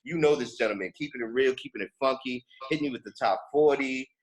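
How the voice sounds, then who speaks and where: A man's voice: mid-range voice, fast talker. A man hosting a casual podcast interview, effusive and slangy in the way he talks. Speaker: podcast host.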